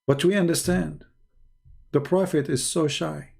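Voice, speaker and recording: Gravelly guy, mocking and sarcastic, stops and starts a lot, desk mic. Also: a little high, fairly even intonation, unhurried, darkish tone. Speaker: channel host. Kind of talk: mocking livestream commentary.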